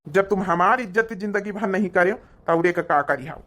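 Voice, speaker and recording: A woman's voice: medium pitch, normal speed, a bit bright, slight background noise, natural voice. A woman voicing a sardonic old village husband in a cartoon, muttering taunts in an Awadhi accent. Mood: resentful.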